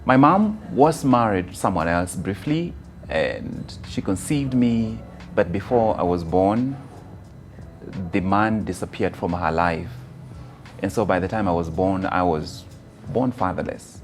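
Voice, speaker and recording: Kenyan man speaking deliberately over music. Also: low-pitched, expressive. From rural Kisii, Kenya. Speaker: motivational speaker. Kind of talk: motivational personal testimony.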